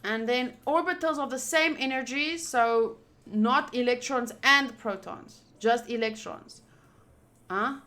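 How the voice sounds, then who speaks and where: A woman doing casual playthrough commentary of a visual novel, reading game text aloud and thinking out loud with excitable energy. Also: on the high side, slow pace. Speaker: streamer playing a visual novel.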